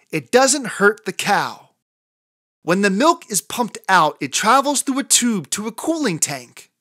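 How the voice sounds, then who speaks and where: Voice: mid-range voice, fairly bright tone, dry recording, clean studio sound. A narrator reading a children's picture book aloud in a careful storytelling manner for young listeners.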